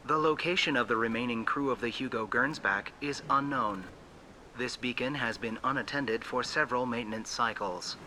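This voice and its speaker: An actor voicing an emotionless, formal, precise emergency beacon computer reciting logs in a science fiction game. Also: male voice, mid-range voice, moderate pace, sharp tone, slight background noise. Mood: impassive, clinical. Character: impassive male-voiced ship beacon computer reciting incident logs. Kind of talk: automated log readout.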